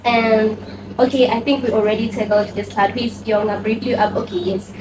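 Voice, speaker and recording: A lively woman host with a fairly high voice chats eagerly and reacts warmly to her guest. She sounds far from the mic in an echoey room, with noise behind her. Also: moderate pace. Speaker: interview host. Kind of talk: casual interview chat. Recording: distant echoey mic, noisy background.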